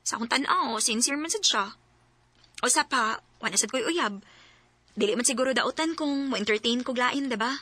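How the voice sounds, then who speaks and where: A woman's voice: fairly high pitch, normal speed, sharp tone, no echo. A young woman with a Cebuano accent playing a guarded, proud student being courted in a radio drama. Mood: coy. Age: young adult.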